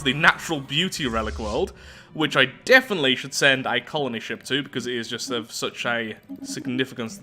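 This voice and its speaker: A man's voice: mid-range voice, bright, forceful tone. A man hosting a strategy game roleplay, chatting casually and poking fun at himself as he goes. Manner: chatty, self-deprecating. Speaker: gaming video host. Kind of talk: casual game commentary with read-aloud storytelling. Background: faint music.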